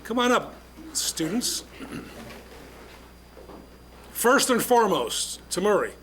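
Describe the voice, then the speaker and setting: A man's voice: high-pitched, big pitch swings, slow pace, a bit bright, slight background noise. A man chairing a city council meeting, interviewing exchange students in a jokey, upbeat way.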